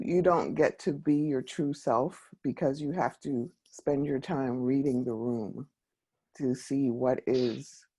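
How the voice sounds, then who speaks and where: A woman from Jamaica talks slowly and thoughtfully as a panelist. Her voice has a gravelly, fried edge. She is heard over a video call. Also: a bass voice, dark tone. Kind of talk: panel discussion.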